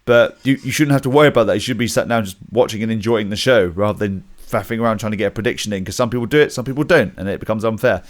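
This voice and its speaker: A man from Britain co-hosting a wrestling podcast, speaking in a measured, agreeable way with frequent ums and uhs. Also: fairly low pitch. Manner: measured, agreeable, with many fillers. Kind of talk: casual chat.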